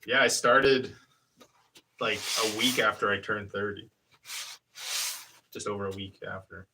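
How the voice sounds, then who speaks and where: A fairly low-pitched man in his thirties talks loosely through what his hands are doing at a pottery wheel, trailing off and restarting as he goes. He sits back from the microphone, so his voice comes across slightly distant. The tone is easygoing live-stream chatter. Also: steady tone, unhurried, background noise. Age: 30s. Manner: rambling, hesitant, explains hands-on steps while working. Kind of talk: casual live-stream chat while demonstrating. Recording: voice picked up from a distance.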